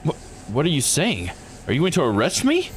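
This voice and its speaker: A man's voice: fairly high pitch, huge pitch swings, moderate pace, a little hiss or hum, rough recording. A man voicing a troubled young immortal in a visual novel, speaking with hesitant, questioning uncertainty. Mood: uneasy.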